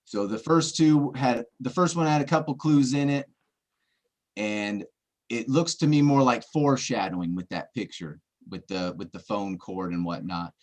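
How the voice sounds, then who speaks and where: Man, mid-pitched, casual clue-by-clue explainer, keeps asking "right?". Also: moderate pace, a little mellow. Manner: walks through puzzle clues step by step, asking for agreement. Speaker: treasure-hunt searcher presenting clues. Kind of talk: casual livestream chat.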